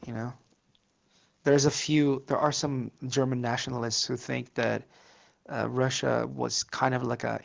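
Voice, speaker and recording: A man's voice: medium pitch, vocal fry. A man whose first language is Portuguese, rambling through casual political chat with many fillers and curses.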